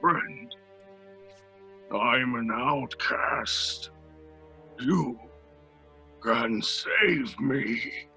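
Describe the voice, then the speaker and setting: Slow, gravelly, lonely monster. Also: male voice, medium pitch, animated, pushed and bright, exaggerated voice. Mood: wounded, brooding. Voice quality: gravelly, raspy growl. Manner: slow, halting, fragmented phrases. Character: monster, lonely pleading menacing male outcast creation. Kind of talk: old-time radio horror acting. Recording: music under the voice.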